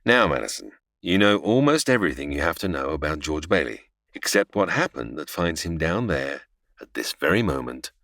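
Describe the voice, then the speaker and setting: A man's voice: rumbling low, sing-song, normal speed, fairly bright tone, dry recording, studio quality, heavily acted. A man playing a senior angel who narrates an audio drama, explaining patiently but easily exasperated. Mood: storytelling. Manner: explanatory, storytelling.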